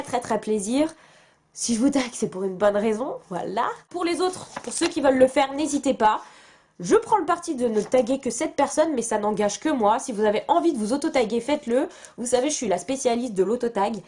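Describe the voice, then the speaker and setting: A young woman from France talks casually to her camera as a book vlogger. Her voice sits fairly high, and her chatty, self-mocking delivery is full of fillers and restarts. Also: a little mellow.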